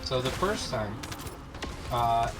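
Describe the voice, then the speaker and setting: A bilingual man, fluent in Spanish and English, tells anecdotes in a casual, sweary way. Loud video game music and gunfire play behind his voice. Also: medium pitch, big pitch swings, slow pace. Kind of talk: casual storytelling chat.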